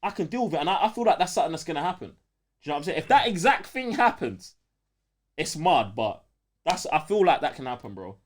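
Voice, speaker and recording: A lively male podcast host with a London accent. His voice sits a little high and swings freely in pitch. He chats casually and leans on slang. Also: quick pace. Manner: slangy, animated. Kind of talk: casual live podcast banter.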